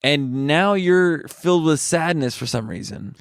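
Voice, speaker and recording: A young man on a podcast, casually telling a story in an animated way and quoting what others said. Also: on the high side, measured pace, no echo, studio quality. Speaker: podcast co-host, comedian. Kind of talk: casual chat.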